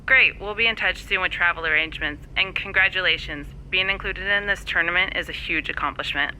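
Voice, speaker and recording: A woman's voice: lowish voice, fairly quick, background noise, close to an everyday voice. An actress playing a friendly, businesslike female talent recruiter on a phone call in a video game. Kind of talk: video game cutscene acting.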